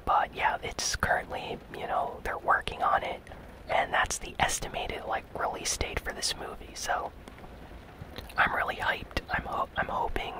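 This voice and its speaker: A host whispering gaming news ASMR-style in a soft, breathy, unvoiced whisper, with a gentle, casual, unhurried delivery. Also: low-quality recording. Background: rain sounds.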